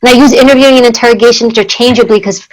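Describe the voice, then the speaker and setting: A woman with a fairly high voice, speaking earnestly as an interview guest. She grew up speaking Greek and often repeats a word or two while she gathers her thoughts. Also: fairly even intonation, rapid-fire, low-quality recording. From United States. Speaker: guest, former Secret Service agent. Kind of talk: conversational interview.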